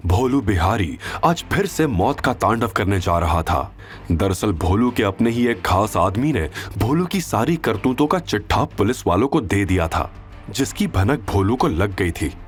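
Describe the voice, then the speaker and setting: A man narrating a scripted audio drama in a dramatic storytelling style. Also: on the low side.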